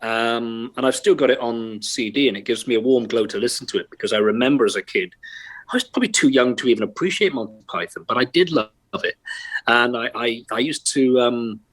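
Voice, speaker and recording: A middle-aged man with a light Welsh accent chats as an interview guest, self-deprecating and quick to laugh. He talks from his bedroom at home over a remote call. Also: medium pitch, a bit bright, poor audio. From Wales. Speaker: actor, guest. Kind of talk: casual interview chat.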